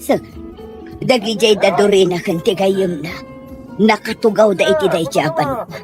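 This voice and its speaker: A woman's voice: mid-range voice, measured pace, over music, lightly acted. A woman acting as a respectful, concerned household helper in an amateur audio drama, asking questions deferentially. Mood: dutiful.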